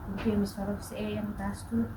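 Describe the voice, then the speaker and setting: A woman leading a spoken prayer in soft, repetitive invocations. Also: mid-range voice, completely flat delivery, a little fast. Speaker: prayer leader. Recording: distant, echoey, very noisy hall.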